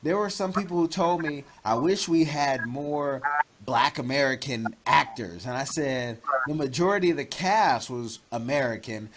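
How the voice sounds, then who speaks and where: A man's voice: mid-range voice, normal speed, background noise. Chatty man hosting an interview. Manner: chatty, advising, jokes and laughs loudly. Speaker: interview host. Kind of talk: casual interview chat.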